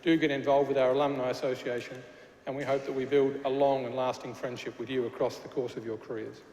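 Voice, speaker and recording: A man's voice: mid-range voice, little pitch movement, fast talker, a little mellow. A man with a Glasgow Scottish accent giving a chatty speech at a graduation ceremony, joking with the crowd and often restarting his sentences. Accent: Glaswegian Scottish. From Scotland. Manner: chatty with frequent restarts and fillers, jokey asides. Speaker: university official addressing graduates. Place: large church hall. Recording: echoey hall with faint noise.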